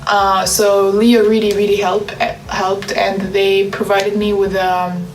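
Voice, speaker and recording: A woman's voice: medium pitch, little pitch movement, slow pace, slight background noise. A young adult woman giving a personal testimonial as a university student. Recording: roomy, distant from the mic.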